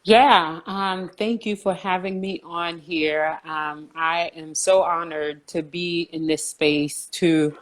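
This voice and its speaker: A woman in her forties from New Jersey, a counselor speaking in a measured, earnest way with frequent ums. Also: lowish voice, steady tone. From New Jersey, USA. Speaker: guest counselor. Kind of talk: casual video chat interview.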